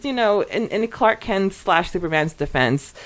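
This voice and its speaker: Giggly, lively woman podcast co-host. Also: mid-range voice, moderate pace. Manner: giggly, expressive. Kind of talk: casual chat, reading and reacting to listener comments.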